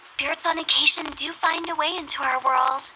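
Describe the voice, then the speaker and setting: A woman's voice: sits high, steady tone, moderate pace, sharp tone, a little hiss or hum, poor audio. A woman playing a confident, playful young funeral parlor director in a video game, with a self-assured theatrical manner. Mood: matter-of-fact.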